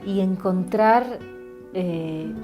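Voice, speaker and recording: A woman's voice: mid-range voice, extremely slow. A woman giving reflective commentary as a drama creator, searching for her words. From Ecuador. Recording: music behind the voice.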